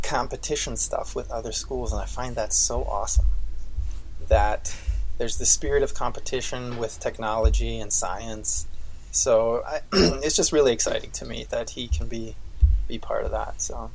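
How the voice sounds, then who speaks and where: A man's voice: mid-range voice, vocal fry, a little hiss or hum, low-quality recording. A man, co-hosting a podcast in casual chat, rambling with lots of fillers. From Austria. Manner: rambling, leans on "basically" and "um". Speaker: podcast co-host.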